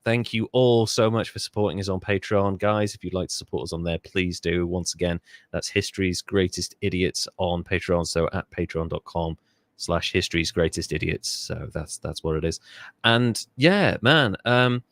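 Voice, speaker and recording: A man's voice: deep voice, normal speed, fairly bright tone, no echo. A man hosting a podcast, chatting casually and rambling, often stopping himself mid-thought and laughing. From England. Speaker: podcast host.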